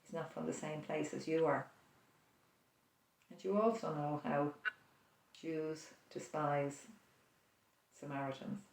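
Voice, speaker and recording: A prayer leader guiding listeners through an imaginative prayer, speaking slowly and softly, with reflective pauses. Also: medium pitch, steady tone, creaky. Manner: slow, soft-spoken, reflective, with pauses. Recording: roomy echo, distant mic.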